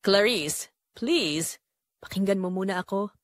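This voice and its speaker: A woman's voice: medium pitch, expressive, unhurried, no room sound, close to an everyday voice. Woman narrator playing an anguished, apologetic Filipino husband in a romance radio play, swinging intonation, dry recording. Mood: pleading. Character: guilt-ridden, pleading adulterous young husband.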